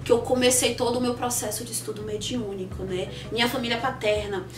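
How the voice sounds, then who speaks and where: A woman's voice: a little high, a little fast, reverberant. A woman, chatting to viewers about her life as a channel host. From Salvador, Bahia, Brazil. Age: 20s. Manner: chatty, animated, addresses viewers directly. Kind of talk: casual personal storytelling to camera.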